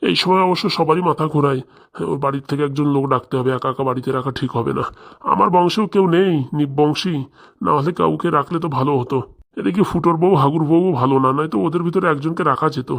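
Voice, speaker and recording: A man's voice: a little high, fast talker, creaky. A man voicing a caring, mildly bossy head schoolmaster in a comedy cartoon, fretful and instructing. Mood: worried.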